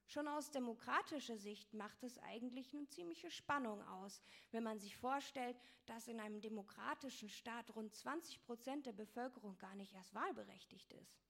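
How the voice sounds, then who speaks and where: A young woman presents a talk with slides, explaining her points step by step. Her voice sits a little high, and she keeps talking fluently while dropping in fillers and restarting words. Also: normal speed, creaky, fairly bright tone. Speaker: speaker giving a talk.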